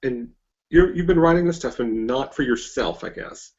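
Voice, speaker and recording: A man hosting a casual interview, speaking in a hesitant, halting way and often repeating himself. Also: medium pitch, darkish tone, highs cut off, a little hiss or hum. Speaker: podcast interviewer, host.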